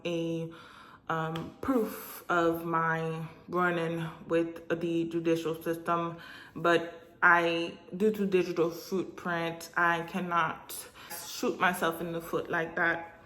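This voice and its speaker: A woman with a Haitian Creole mother tongue speaks a religious message in a slow, unhurried way, stopping often with filler sounds. Her voice sits a little low. The room around her adds a clear echo. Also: level tone, a bit bright. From Hispaniola. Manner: halting, many pauses and filler sounds. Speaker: religious vlogger. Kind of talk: direct-to-camera prophetic message. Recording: echoey room.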